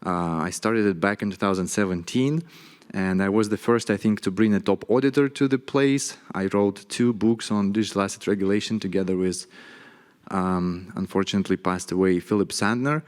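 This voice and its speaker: A man's voice: fairly low pitch, moderate pace. A man speaking on a conference panel about his work, earnest, with stumbling restarts. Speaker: panelist, stablecoin issuer. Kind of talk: panel discussion. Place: conference hall stage. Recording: long reverb of a conference hall.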